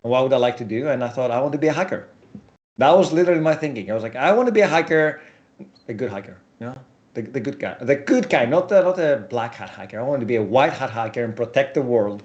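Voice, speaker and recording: A man's voice: medium pitch, fast talker. Greek native, forties, man, interview guest, storytelling tone, slightly dull audio. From Europe. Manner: storytelling, animated asides. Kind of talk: casual interview.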